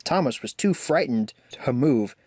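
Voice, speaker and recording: Slow, animated male storyteller. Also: mid-range voice. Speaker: amateur story reader. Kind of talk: children's story narration.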